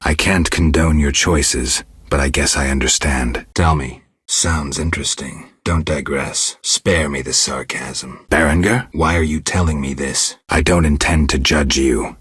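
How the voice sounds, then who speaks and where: A stoic, world-weary monster hunter from a fantasy video game. His voice is exceptionally deep and heavily husky, recorded close and dry. He speaks in terse, restrained phrases, blunt but quietly protective. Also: dry recording, heavily acted. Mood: stern, curt. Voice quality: gravelly, husky low voice. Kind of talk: video game character dialogue.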